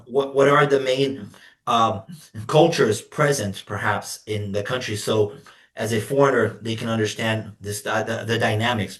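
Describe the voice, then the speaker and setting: A man's voice: mid-range voice, a bit bright, roomy sound. A man hosting an interview, asking structured questions courteously. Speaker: interview host.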